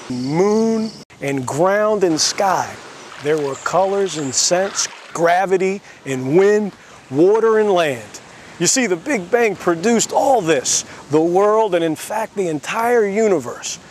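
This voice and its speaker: A man with a high-pitched voice narrates a satirical tale. He explains things with mock innocence and sarcasm. There is faint background noise, as if recorded outdoors.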